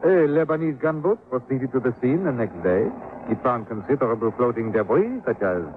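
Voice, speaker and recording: An actor playing a courteous, formal and evasive police commissioner with a French accent in a 1950s radio drama. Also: male voice, medium pitch, animated, a little fast, lightly acted. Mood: official, factual. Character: suave, formal male police commissioner in Beirut. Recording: tinny, slightly noisy 1950s radio recording.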